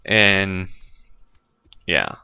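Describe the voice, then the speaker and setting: A man's voice: low voice, big pitch swings, very slow, creaky, smooth, no echo, muffled recording. A man with a stuffy nose commenting casually on his gameplay, thinking aloud with lots of fillers, recorded on a desk microphone at home. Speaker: let's play host.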